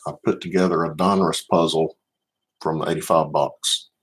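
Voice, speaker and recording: Middle-aged man, Southern US accent, low voice, relaxed casual livestream host talking to regulars. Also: low-quality recording. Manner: unhurried, addresses viewers by name. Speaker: livestream host, card collector.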